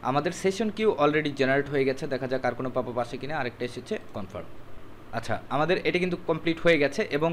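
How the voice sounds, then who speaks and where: A man's voice: a little high, lively intonation, fast, slight background noise. A man narrating a screen tutorial step by step, instructive and mixing in English words. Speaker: tutorial presenter.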